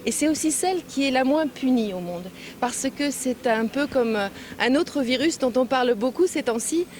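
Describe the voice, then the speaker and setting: Woman, fairly high voice, official public remarks, deliberate hesitant phrasing, faint background noise. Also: lively intonation. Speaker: European Union official giving a speech and interview. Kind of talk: public speech and press remarks. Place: on location at a public event. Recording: clearly noisy on-location event recording.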